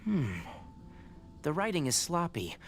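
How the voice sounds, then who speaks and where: A woman playing a calm, thoughtful investigator in a video game, with a measured, pensive way of talking. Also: sits low, heavily acted. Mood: pensive, focused. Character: calm, thoughtful investigator piecing together a mystery.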